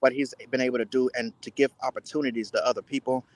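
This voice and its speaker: A man speaking as an interview guest, giving earnest, deliberate answers in a casual style. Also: mid-range voice, steady tone, no room sound, rough recording. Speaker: guest, spice entrepreneur.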